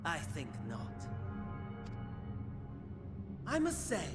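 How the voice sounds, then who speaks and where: Game villain: high-voiced, cruelly polite aristocrat, theatrical and mocking, over music. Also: male voice, wildly swooping pitch, drawn-out words, heavily acted. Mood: taunting. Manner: theatrical, genteel, mock-polite delivery. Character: suave, mocking aristocratic male villain, cruelly courteous host. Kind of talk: scripted video game acting.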